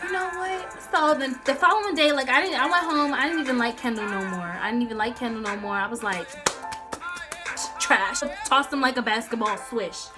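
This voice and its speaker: A young American woman telling a story casually to viewers, animated and slangy, acting out the dialogue as she goes. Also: a little high, a little fast, music in the background. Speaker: storytime vlogger.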